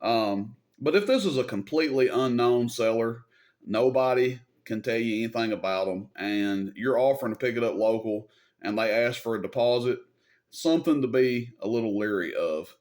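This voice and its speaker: A man's voice: mid-range voice, expressive, moderate pace, darkish tone. A man with an American accent gives unscripted advice and tells stories to his viewers in a folksy, plain-spoken, mildly salty way. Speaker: video host and CB radio seller.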